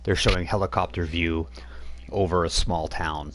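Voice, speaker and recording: A man's voice: low voice, steady tone, normal speed, fairly bright tone. A man running a tabletop role-playing game over voice chat, narrating loosely with many fillers and restarts. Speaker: game master.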